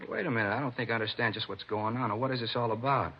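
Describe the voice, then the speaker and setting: A man's voice: medium pitch, fairly even intonation, racing, husky. An actor playing a talkative, defensive American man under police questioning, conversational in a 1950s radio drama style. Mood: defensive, indignant. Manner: conversational, indignant when pressed. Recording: dull vintage radio recording.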